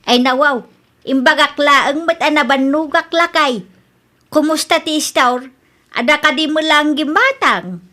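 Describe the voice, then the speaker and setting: A woman acting as a middle-aged Ilocano storekeeper mother in a radio drama. Her voice is very high and sharp, and she talks in an expressive, nagging way. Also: a little slow. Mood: nagging, brisk. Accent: Ilocano Filipino. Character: bossy, chatty middle-aged mother running a small store.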